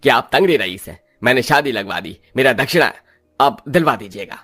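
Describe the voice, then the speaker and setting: A man's voice: mid-range voice, expressive, fairly quick, fairly bright tone. A man voicing a wheedling village priest and matchmaker in a moral story cartoon, deferential and keen on his fee.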